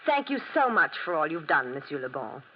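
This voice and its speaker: An actress playing a young, affectionate French daughter in 1940s radio drama style. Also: female voice, sits low, big pitch swings, a little fast. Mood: sweet, grateful. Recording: muffled, noisy 1940s radio recording.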